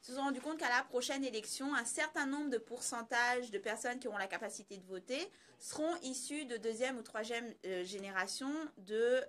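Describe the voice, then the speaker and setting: Higher-voiced woman giving emphatic explanatory commentary. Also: fairly bright tone. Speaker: commentator on social issues. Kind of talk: conversational explanatory analysis.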